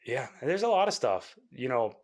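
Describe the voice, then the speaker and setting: A man's voice: medium pitch, sing-song, normal speed. Chatty young man rambling with fillers. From Canada. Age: young adult. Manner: rambling, filler-heavy, laughs easily. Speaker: musician guest. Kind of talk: casual interview chat.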